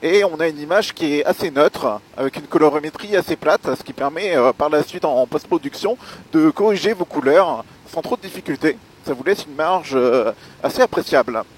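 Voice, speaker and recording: A man giving a spoken gear review as a motovlogger, explaining things in a measured, hesitant way with pauses. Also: on the high side, animated, breathy edge. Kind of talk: spoken product review while riding.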